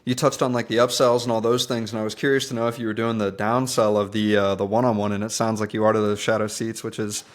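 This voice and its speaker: A man's voice: fairly low pitch, little pitch movement, fast, hardly any pauses. A man in his late twenties hosting an interview, asking questions with lots of ums and uhs. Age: 20s. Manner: hesitant, many ums, friendly. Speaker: podcast host. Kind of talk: casual interview.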